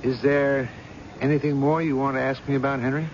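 Actor as an earnest family friend, muffled radio. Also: male voice, mid-range voice, level tone, a little fast, natural voice. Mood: exasperated. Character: exasperated, responsible family friend, a man. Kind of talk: 1970s radio drama acting. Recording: muffled old radio recording, faint noise.

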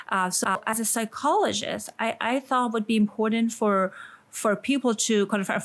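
A woman with a Persian accent, a psychologist and sex therapist, answering interview questions thoughtfully, often pausing with "kind of" and "like". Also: fairly high pitch. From Iran. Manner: thoughtful, many fillers like "kind of" and "like".

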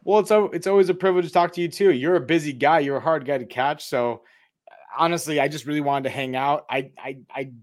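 A man's voice: on the high side, fast. A man hosting a podcast in a friendly, informal, conversational way. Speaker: podcast host. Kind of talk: casual interview and introductions.

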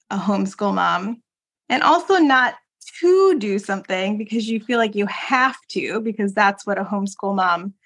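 A woman's voice: mid-range voice, fairly bright tone. A woman co-hosting a podcast in a casual chat, talking freely with fillers, restarts and frequent laughs. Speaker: podcast co-host.

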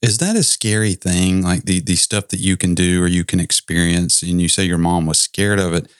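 A man's voice: deep voice, normal speed, smooth, no echo, studio quality. A middle-aged man co-hosting a podcast, joking easily in casual chat. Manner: joking, easygoing. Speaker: podcast co-host.

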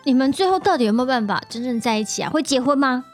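A woman's voice: high voice, lively intonation, very fast, soft background music. A woman with a Taiwanese Mandarin accent asks questions in a casual, curious, teasing chat as a guest podcaster.

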